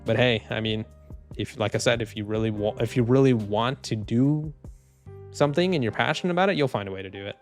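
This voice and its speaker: A man streaming casually, reading chat comments in a low, even, unhurried voice with lots of fillers and restarts. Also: faint music behind. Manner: laid-back, rambling, many fillers and restarts.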